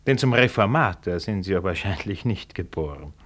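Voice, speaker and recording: Senior Austrian civil servant, radio play; low, dry, ironic, comfortably cynical. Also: male voice, lively intonation, moderate pace, a bit bright. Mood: wry, lecturing. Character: wry, worldly male senior civil servant, genially cynical. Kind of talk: German radio play acting.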